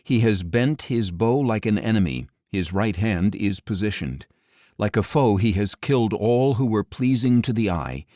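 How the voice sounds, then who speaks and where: A man reading scripture as an audiobook narrator in a solemn, grave, measured delivery with deliberate pauses. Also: fairly low pitch, animated, warm tone, no room sound, studio quality.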